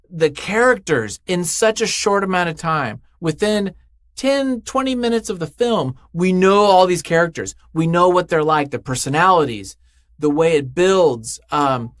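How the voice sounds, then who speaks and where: A man's voice: a little high, dry recording, clean studio sound. A man speaking as an interview guest in casual, unscripted chat, effusive and emphatic, often thinking aloud.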